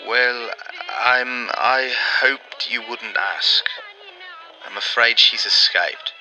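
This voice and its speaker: Man playing an earnest, very sharp-voiced wartime operative. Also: mid-range voice, very slow, soft background music, exaggerated voice, poor audio. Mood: apologetic, earnest. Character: earnest, persuasive male Allied wartime intelligence operative. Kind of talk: 1940s radio drama acting.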